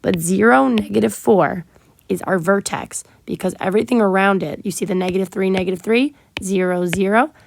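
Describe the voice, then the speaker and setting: A woman teacher, sick and hoarse, patiently walking her students through math homework step by step with frequent ums. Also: mid-range voice, brisk, slight background noise. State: sick, partly lost voice. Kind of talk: recorded math lesson walkthrough.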